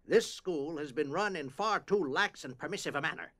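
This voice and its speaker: A man's voice: a little high, moderate pace, sharp tone. A man playing a stuffy, irritable school principal with a pompous, formal manner in an audio drama. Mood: self-important.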